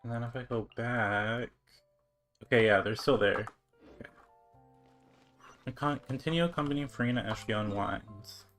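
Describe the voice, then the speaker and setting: A man's voice: mid-range voice, moderate pace, long pauses, a bit bright. Brief: male livestreamer, mid-range voice, laid-back casual chat while playing, game music underneath. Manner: laid-back, thinking aloud. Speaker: game streamer.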